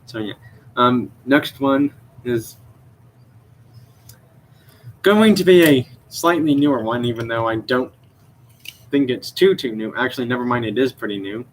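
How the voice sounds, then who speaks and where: A man's voice: medium pitch, a little slow, long pauses, slight background noise. A young man chatting on a live stream about his toy car collection, rambling with many fillers and unsure self-corrections. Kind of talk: casual live stream show-and-tell. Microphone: computer mic.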